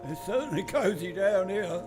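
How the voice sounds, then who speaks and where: An actor playing a wisecracking secret agent in an amateur radio drama, wry and unflustered. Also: male voice, sits high, lively intonation, moderate pace, over music. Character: wisecracking male secret agent, cool under threat.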